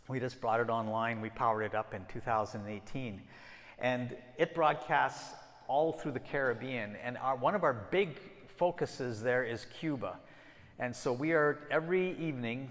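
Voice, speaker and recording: A man's voice: mid-range voice, fairly bright tone. A middle-aged man giving a conversational mission talk to a church congregation, often restarting his sentences. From Ecuador and Peru. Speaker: missionary guest speaker. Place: church sanctuary. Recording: long hall reverb.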